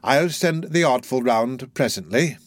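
A man voicing a crafty, wheedling old male fence in a storybook reading, slow and fawning. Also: medium pitch, expressive, no echo, lightly acted. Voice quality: oily, fawning, drawn-out.

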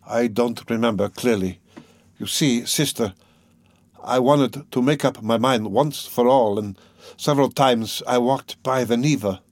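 A man voicing a tormented, exhausted young man, proud and bitter, with a brooding, halting intensity, in an audiobook. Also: medium pitch, no room sound, natural voice. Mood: weary, tormented.

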